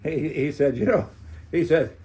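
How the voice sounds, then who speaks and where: An older man with an American accent reminiscing casually as a former club owner, in a halting, rambling way with frequent chuckles. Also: fairly high pitch, expressive, mellow, a little hiss or hum.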